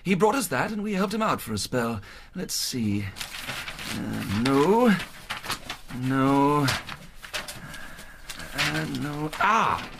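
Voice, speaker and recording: An actor playing a kindly, plain-spoken charity official in a radio drama, British, with a husky voice and a confiding, colloquial manner. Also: male voice, fairly high pitch, very animated, takes it slowly, long pauses, noisy background, full character voice. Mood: busy, helpful.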